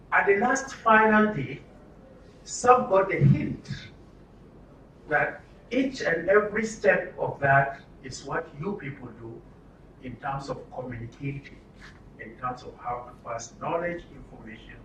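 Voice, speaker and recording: A man's voice: a little high, fairly bright tone. A man with a Nigerian accent facilitating a live workshop, speaking instructively with rhetorical questions and repetition. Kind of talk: live workshop talk to a group. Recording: roomy, distant mic with some noise.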